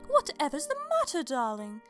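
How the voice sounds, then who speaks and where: A woman's voice: sits high, huge pitch swings, moderate pace, faint music behind, pitched above the performer's own voice. A woman voicing an elegant, motherly older-sister pony, refined and theatrical, in a storybook reading. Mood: fussing.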